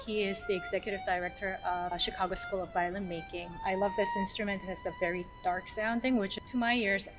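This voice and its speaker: A woman's voice: mid-range voice, steady tone, fairly quick, few pauses, low-quality recording. Woman musician from South Korea over music. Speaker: orchestral violinist. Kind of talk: casual scripted-outline self-introduction to camera.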